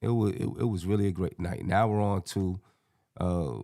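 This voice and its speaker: Deep, scratchy-voiced male sports host. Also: fairly even intonation, normal speed, mellow, no room sound, clean studio sound. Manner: slangy, colloquial. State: hoarse, scratchy voice. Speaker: host of a sports fan panel show. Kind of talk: casual sports talk.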